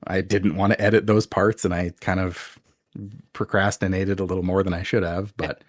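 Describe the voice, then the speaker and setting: A soft-spoken man chatting casually as an interview guest, conversational and a little self-deprecating about his creative work. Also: low-pitched, little pitch movement, normal speed, no echo.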